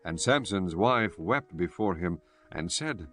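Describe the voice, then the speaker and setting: A man with a deep voice narrates scripture in a solemn, formal manner. Music plays clearly behind the scripted reading. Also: animated, slow, a bit bright. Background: prominent music.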